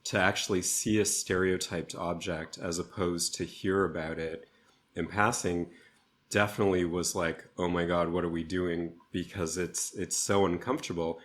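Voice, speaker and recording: A man speaking reflectively as an interview guest, with thoughtful, deliberate phrasing. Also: deep voice, steady tone. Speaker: interview guest, theatre artist.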